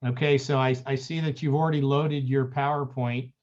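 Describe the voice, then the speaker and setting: A man moderates an online panel and introduces a guest at length. His voice sits at a middle pitch, and the video call audio sounds a little muffled. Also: flat delivery, a little mellow. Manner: friendly, slightly halting. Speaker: webinar moderator. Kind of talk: hosting a webinar, reading a guest introduction.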